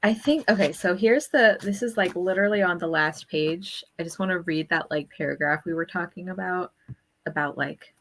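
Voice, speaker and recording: Female co-host for informal book discussion, slightly low voice, conversational delivery. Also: moderate pace, fairly bright tone. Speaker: live show co-host. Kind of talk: casual book chat.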